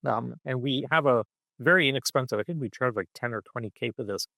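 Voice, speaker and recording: Male podcast guest, middle voice, dry close sound, lively explainer who keeps asking "right?". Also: mid-range voice, quick pace, vocal fry, dry recording. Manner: punctuates points with "okay?" and "right?". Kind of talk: animated conversational explaining.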